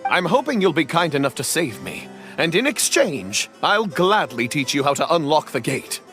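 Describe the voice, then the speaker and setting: An actor voicing a polite young knight trapped as a statue, formal and courteous, pleading for help. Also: male voice, on the high side, big pitch swings, moderate pace. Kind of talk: game voice acting. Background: music.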